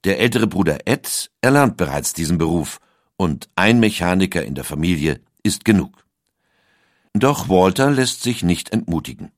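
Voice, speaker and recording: Deep-voiced male documentary narrator, dry. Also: big pitch swings, moderate pace, dry recording.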